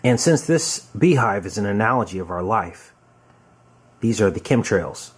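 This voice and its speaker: A man giving informal, halting conspiracy commentary on a film, explaining as he goes. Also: lowish voice, lively intonation, husky. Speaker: video commentator. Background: music.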